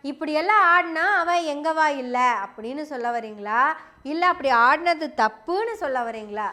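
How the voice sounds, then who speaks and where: A woman's voice: sits high, sharp tone. A woman giving an opinion monologue to camera as a political commentator, sarcastic and full of rhetorical questions.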